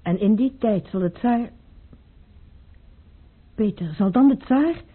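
Captive baroness, husky, mid-pitched, tender, pleading, muffled radio play recording. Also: female voice, lively intonation, takes it slowly, exaggerated voice. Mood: apprehensive. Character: sorrowful, tender baroness held prisoner by a former lover. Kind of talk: radio drama acting.

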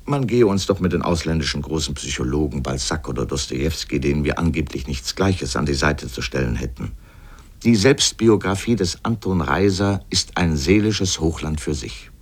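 Biting, learned essayist for radio drama; very low voice, rhetorical, grandly ironic delivery. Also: male voice, lively intonation, moderate pace, slightly rough, heavily acted. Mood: ironic, emphatic. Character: erudite, polemical male literary essayist with biting irony. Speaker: speaker in a literary radio essay. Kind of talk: scripted literary radio essay narration.

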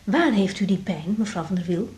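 An actress playing a young, sensitive, tired woman doctor in a radio drama, speaking gently and reflectively with a Netherlands Dutch accent. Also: mid-range voice, expressive, moderate pace, husky. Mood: caring, calm. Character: young female doctor, weary, sensitive, caring. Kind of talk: radio drama acting, intimate diary monologue and dialogue.

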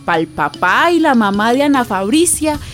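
A woman's voice: on the high side, very animated, moderate pace, fairly bright tone, soft background music. A woman playing an earthy, impassioned storyteller with a Colombian accent, narrating a radio drama expressively and speaking directly to listeners. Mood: grave.

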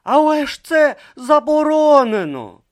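A man's voice: very high-pitched, very animated, unhurried, pitched above the performer's own voice, heavily acted. A man voicing a timid, earnest young male novice monk who speaks hesitantly and anxiously.

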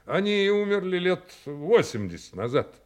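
Audio play townsman: proud, declamatory legend-teller, slightly high voice, highly animated. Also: normal speed. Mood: solemn storytelling. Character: proud male townsman telling a founding legend. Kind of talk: theatrical storytelling in an audio play.

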